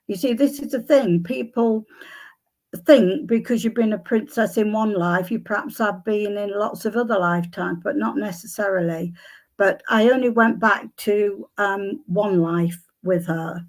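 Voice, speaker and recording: Slow, hesitant older Englishwoman, a bit croaky. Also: mid-range voice. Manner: hesitant, many ums and restarts. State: slightly croaky voice. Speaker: psychic guest. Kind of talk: conversational interview answers.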